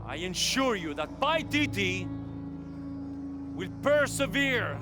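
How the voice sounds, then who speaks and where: Slow preachy cult boss, big rising voice. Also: male voice, high voice, very animated, over music, exaggerated voice. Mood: proclaiming. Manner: slow, grand, proclaiming. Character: commanding male cult leader addressing crowd.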